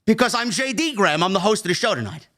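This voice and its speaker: A man's voice: high-pitched, expressive, fast talker, fairly bright tone. A man doing a brash bro-talking luchador. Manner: rambling, ends lines with "man" and "bro". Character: brash self-proclaimed male Mexican luchador wrestler. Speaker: podcast host. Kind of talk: comedic bit on a wrestling podcast.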